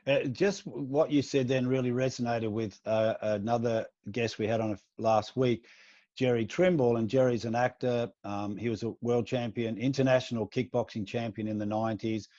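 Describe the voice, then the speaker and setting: A man hosting a podcast recounts a long story, slipping into the voices of the people he quotes. His voice is mid-pitched. The sound is dry and dull, a lo-fi recording without room echo. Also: steady tone, moderate pace, warm tone. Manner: recounting a story at length, acting out quoted lines. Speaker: podcast host. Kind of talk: casual interview conversation.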